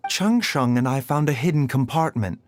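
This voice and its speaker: A man voicing a composed, scholarly healer in a video game, soft and measured, courteous with a sly teasing formality. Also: mid-range voice, lively intonation, heavy background noise, close to an everyday voice. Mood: calm, analytical. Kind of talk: video game character acting.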